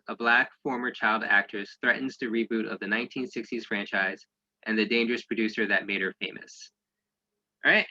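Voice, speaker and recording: A man opens a script read as its director in a sharp, forceful voice. The sound is very dry and slightly lo-fi. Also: medium pitch, no room sound. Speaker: writer-director introducing a table read. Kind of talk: brisk introduction, partly read aloud. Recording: slightly dull, lo-fi audio.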